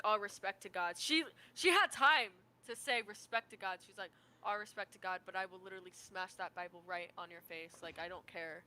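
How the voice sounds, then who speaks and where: A woman's voice: medium pitch, bright, forceful tone. A young American woman telling stories in casual chat, with a slangy, sweary, rambling manner. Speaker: livestreamer.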